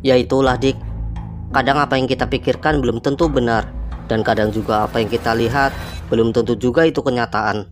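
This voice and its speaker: Man playing a young cartoon sidekick, nervous, inquisitive, slang, mid pitch, music underneath. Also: fairly even intonation, a little fast, close to an everyday voice. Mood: reflective. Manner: casual, slangy, questioning. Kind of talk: Indonesian cartoon dialogue, colloquial. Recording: music behind the voice.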